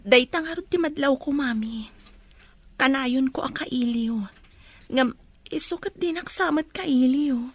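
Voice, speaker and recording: Woman as a high-voiced lovesick young student. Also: measured pace. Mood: hurt, doubting. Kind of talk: audio drama acting.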